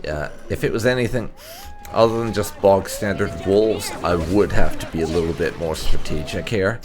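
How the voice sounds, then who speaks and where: A man's voice: deep voice, normal speed. A man giving casual gameplay commentary as a video host, laid-back and dryly joking. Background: game music.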